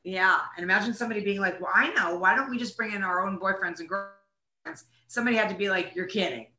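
Bright-voiced woman in a roomy space. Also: mid-range voice, quick pace. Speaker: actress, interview guest. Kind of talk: casual conversational interview. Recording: roomy, echoing sound.

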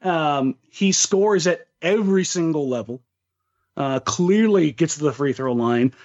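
Higher-voiced man chatting sports, slightly dull audio. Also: animated, a little slow, darkish tone. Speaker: sports podcast co-host. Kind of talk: casual basketball chat.

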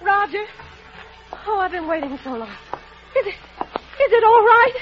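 A woman's voice: very high-pitched, sing-song, measured pace, music in the background, full character voice. A woman acting a devoted young wife and mother in a vintage radio drama, theatrical and heartfelt. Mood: breathless relief. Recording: muffled old radio recording.

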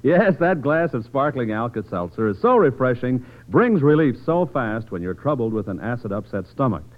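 A man reading a vintage radio commercial as a brisk sales pitch. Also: very animated. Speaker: commercial announcer. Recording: muffled old radio broadcast.

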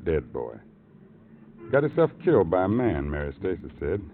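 Very deep, brooding rooming-house man. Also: huge pitch swings, exaggerated voice. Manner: terse, slow-musing fragments. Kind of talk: 1950s radio drama acting. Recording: tinny, noisy old radio recording.

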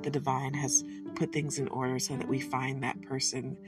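A chatty woman talks through a home recipe in a folksy, joking way. She sits back from the microphone, so her voice sounds a little distant. Guitar music plays clearly underneath her. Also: a bass voice, little pitch movement, normal speed. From Detroit, USA. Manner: chatty and folksy, with self-amused asides and corny jokes. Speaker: home cook. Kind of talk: casual cooking vlog.

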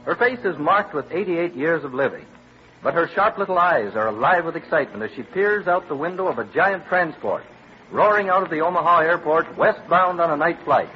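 Male radio narrator, fairly high voice, formal, stately, 1940s drama style, music behind, muffled recording. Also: animated, brisk, slight background noise.

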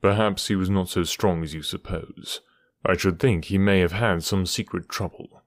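A man's voice: deep voice, fairly even intonation, normal speed, no echo, natural voice. A man voicing a cool, precise male detective with a dry, ironic manner in an audiobook. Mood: cool, measured. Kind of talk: audiobook character voice.

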